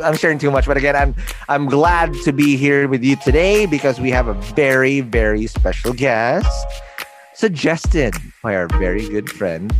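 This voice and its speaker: A man with a Filipino accent hosting a casual interview podcast, playful and energetic, laughing often. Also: fairly high pitch. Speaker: podcast host.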